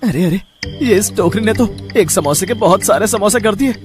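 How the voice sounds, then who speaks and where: A man's voice: high voice, lively intonation, normal speed, over music. A man playing a sly, greedy cartoon thief who schemes aloud to himself. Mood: gleeful. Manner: scheming, muttering to himself with "hmm". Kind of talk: cartoon story dialogue.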